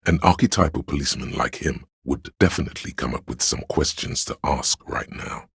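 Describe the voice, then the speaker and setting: A man voicing a policeman's inner instinct in a video game, narrating in the second person with grave, measured, dryly ironic delivery and a gravelly, husky tone. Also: very deep voice, a bit bright, dry recording, clean studio sound, heavily acted. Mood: dry, deliberate.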